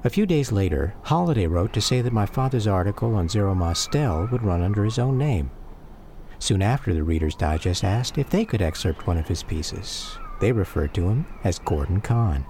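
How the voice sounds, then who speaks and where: An American man narrating a scripted radio memoir in a measured, reflective manner. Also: on the low side, sing-song, warm tone, slight background noise.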